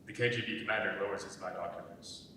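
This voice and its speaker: A man's voice: fast talker, sharp tone. A man reading scripted narration and stage directions for a dramatic reading. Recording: echoey hall, distant mic, faint rumble.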